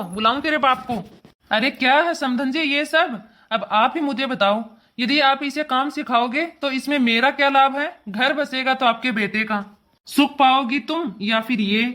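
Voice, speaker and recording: A woman's voice: high-pitched, pushed and bright. A woman voicing an indignant, protective father who lectures emphatically in a cartoon family drama. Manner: emphatic, lecturing, repetitive scolding.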